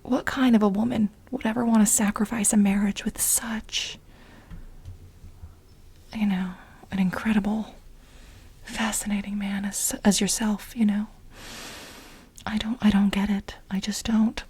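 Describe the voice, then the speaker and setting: An extremely breathy, whispered voice of an obsessive woman , played by an ASMR performer who pines for her married boss. She is dramatic and insistent, often repeating herself haltingly. The delivery is soft-spoken ASMR role play. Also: medium pitch, flat delivery. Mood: pitying, flattering.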